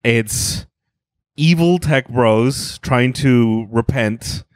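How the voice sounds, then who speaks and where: A man co-hosting a comedy podcast in casual chat, crude deadpan joking that jumps high when he mocks others. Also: very slow, dry recording. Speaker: podcast co-host.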